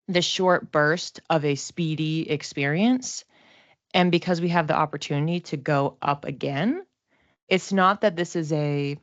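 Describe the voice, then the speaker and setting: Casting: female tarot reader, low register, chatty and halting with a giggly streak, slightly muffled lo-fi recording. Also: dry recording. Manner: giggly, informal, halting and reflective. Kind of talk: casual tarot reading monologue.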